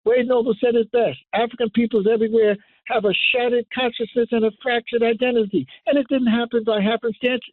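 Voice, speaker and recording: A man's voice: high-pitched, dry recording. Older male guest lecturer, emphatic and rising, thin dry phone-line sound. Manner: emphatic, rising to forceful declarations. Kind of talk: impassioned radio lecture.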